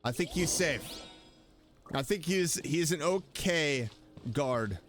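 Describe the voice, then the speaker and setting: A man's voice: a little high, lively intonation, slow, a bit bright. A man giving casual, playful VTuber gameplay commentary, exclaiming and repeating himself as he plays. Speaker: VTuber game streamer. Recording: game music playing behind. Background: video game music.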